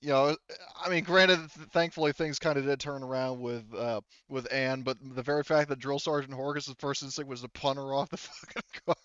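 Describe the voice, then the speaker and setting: A man's voice: medium pitch, steady tone, a little fast, few pauses, fairly bright tone, clean tone, no room sound, dull audio. A man acting as game master in a casual tabletop roleplaying session, rambling and swearing as he talks. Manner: rambling, profane.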